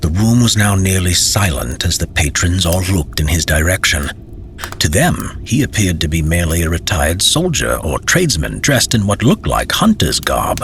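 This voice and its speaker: A man reading a scripted fantasy story as narrator, in a measured, dramatic storytelling manner. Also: low voice, big pitch swings, creaky, fairly bright tone, slight background noise.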